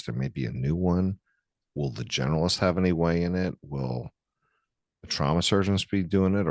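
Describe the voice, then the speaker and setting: A man with an extremely deep voice hosts an interview, asking long, searching questions and sometimes restarting them. The sound is dry and close, with no room around it. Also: no echo. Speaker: podcast host, surgeon interviewer. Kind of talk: conversational podcast interview.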